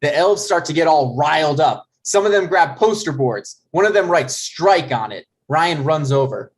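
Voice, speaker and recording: A man narrates a script's stage directions. His voice sits a little high as he reads. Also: expressive, normal speed.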